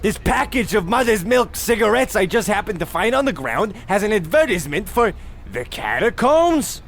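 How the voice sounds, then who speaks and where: A man acts out a pompous husband in a comic radio play, pitched high for a man. He blusters theatrically and grandly, oblivious to his wife. A faint noise sits behind the voice. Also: big pitch swings, moderate pace, fairly bright tone, full character voice. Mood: insistent, grandiose. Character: pompous, oblivious, bickering American husband on holiday. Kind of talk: comedic radio play acting.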